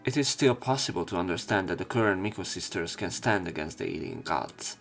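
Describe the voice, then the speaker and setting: A man reading visual novel lines aloud as let's play narration, halting at times and correcting himself. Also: low-pitched, expressive, vocal fry, fairly bright tone. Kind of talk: read-aloud narration and commentary of game dialogue. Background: game music.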